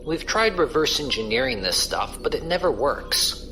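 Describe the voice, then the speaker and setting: A man's voice: a little high, animated, moderate pace, background noise, lightly acted. A man playing a casual, wry space pirate crewman who explains things colloquially in a science fiction audio drama. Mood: casual, explanatory. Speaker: voice actor.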